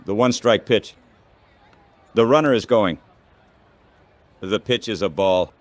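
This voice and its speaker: A man calling baseball play-by-play as an announcer, in short, measured phrases. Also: lowish voice, no room sound, some chatter behind.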